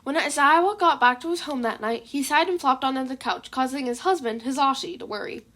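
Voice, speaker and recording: A woman reading fan fiction aloud as an expressive story narrator. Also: sits high, brisk.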